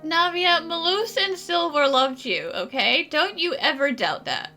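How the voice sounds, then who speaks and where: An actress playing a perky, loyal young female friend in a video game, lively and frank. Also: high voice, a little slow, full character voice. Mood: supportive, frank. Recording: soft music behind the voice.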